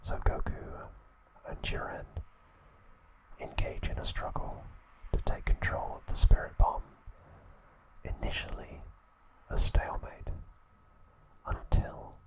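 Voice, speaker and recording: A man hosting an ASMR video, narrating trivia in a soft, deliberate, unhurried whisper. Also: low-quality recording. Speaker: ASMR host.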